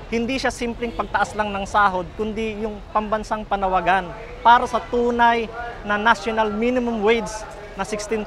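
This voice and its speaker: A Filipino man, a factory worker and activist, answering in an interview. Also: high voice.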